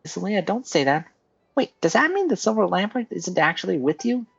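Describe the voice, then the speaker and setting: A man's voice: high-pitched, very animated, fairly quick, creaky, fairly bright tone, husky, no echo, muffled recording, voice pushed up, exaggerated voice. A man voicing a small, indignant, childlike female companion in a video game, pouty and full of questions. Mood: indignant, questioning. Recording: music behind the voice.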